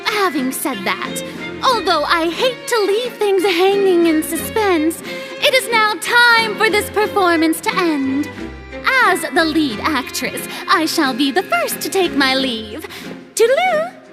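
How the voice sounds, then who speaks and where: A woman's voice: very high-pitched, big pitch swings, slow, over music, exaggerated voice. A woman playing a haughty young female ruler in a video game, pompous and theatrical, with bluster over nerves. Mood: theatrical, breezy.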